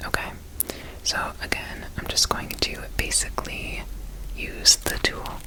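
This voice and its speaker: A woman speaks entirely in a breathy whisper, taking her time with each phrase. She is gentle and reassuring, like an examiner in an ASMR roleplay, and often repeats small words in a soothing, unhurried way. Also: low-quality recording. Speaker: ASMR performer playing an alien researcher.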